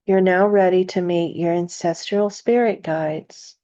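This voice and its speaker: Female meditation guide: soft-toned and mellow, slightly low, soothing and deliberate delivery, muffled lo-fi sound. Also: steady tone.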